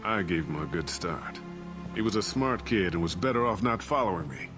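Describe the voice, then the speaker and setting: Deep-voiced disgraced captain, self-justifying. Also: male voice, animated, normal speed. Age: middle-aged. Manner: defensive, rationalizing. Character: disgraced, self-justifying ship captain, evasive aging father. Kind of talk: dramatic video game voice acting. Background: cinematic background music.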